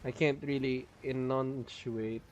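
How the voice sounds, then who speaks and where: A man's voice: mid-range voice, steady tone, slow pace, lo-fi sound, slight background noise. A man streaming a game live, chatting casually and explaining his build while his voice is strained and he holds back a cough. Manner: chatty, explains build details, laughs often. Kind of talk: live gameplay commentary.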